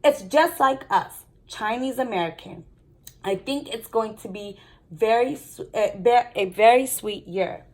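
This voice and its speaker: A woman's voice: fairly high pitch, unhurried. A woman reading the part of an easygoing, teasing father in a family story, playful and matter-of-fact. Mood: reassuring.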